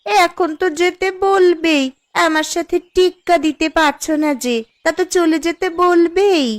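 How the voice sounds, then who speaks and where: A woman's voice: very high-pitched, fairly even intonation, a little slow. A woman voicing a boastful neighbour wife in a cartoon, mocking and taunting as she shows off. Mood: gloating, mocking. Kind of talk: Bengali cartoon voice acting.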